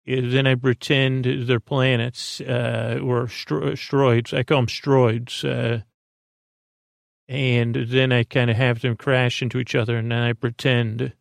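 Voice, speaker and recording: A man hosting a bedtime podcast in a creaky voice, rambling in a meandering, hesitant way with frequent stammers. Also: mid-range voice, little pitch movement, measured pace, dry recording, clean studio sound. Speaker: podcast host.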